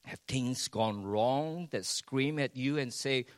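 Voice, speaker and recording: A man preaches in unhurried, deliberate phrases. He drops to near-hushed lines, then surges up loud and throws rhetorical questions at the congregation. The sound is close and dry. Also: medium pitch, no room sound. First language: Chinese. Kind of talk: sermon.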